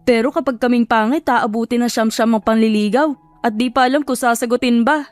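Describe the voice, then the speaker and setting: A woman's voice: a little high, fairly even intonation, a little fast, no echo, faint music behind, natural voice. A woman voicing a bantering, envious male market worker in a dramatized audio story. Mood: teasing, envious.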